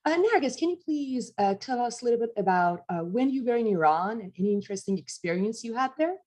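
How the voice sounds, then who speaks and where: A woman's voice: a little high, animated, quick pace, darkish tone. A woman hosting an interview, asking questions politely and appreciatively. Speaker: interview host. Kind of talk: interview conversation.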